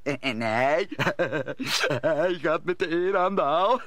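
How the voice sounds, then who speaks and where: High-voiced, stammering, nervous landlord. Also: male voice, lively intonation, a bit bright, no room sound. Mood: conspiratorial. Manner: stammering, halting, agitated. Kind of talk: Dutch radio play acting.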